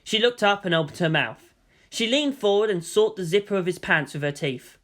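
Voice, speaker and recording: An amateur audiobook narrator reading a fan story in a steady, mid-pitched voice. The pacing is even and unhurried, and dialogue gets voiced in character. The tone is plain and matter-of-fact. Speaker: fan fiction reader.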